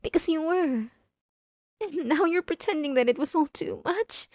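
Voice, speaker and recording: A woman performs as a possessive yandere wife, obsessed with her spouse. Her voice is on the high side and sits close and dry, with no room sound. She speaks intimately and theatrically, in the manner of an ASMR roleplay monologue. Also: animated, a little mellow, no room sound. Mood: angry, possessive. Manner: intimate, theatrical, drawn-out emphatic phrases.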